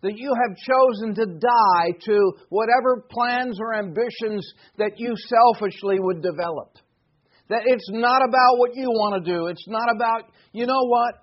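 A man's voice: high-pitched, unhurried, lo-fi sound. A man in his sixties preaching a sermon in an earnest, emphatic way, driving points home by repeating phrases. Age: 60s.

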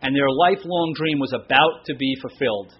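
A middle-aged man preaching a sermon into a stand microphone in a church, with a loud voice, telling casual self-deprecating stories and slowing down to read scripture. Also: mid-range voice, breathy edge, highs cut off. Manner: chatty, self-deprecating storyteller, slows down for scripture reading. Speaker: pastor. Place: church sanctuary. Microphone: stand microphone, stays close.

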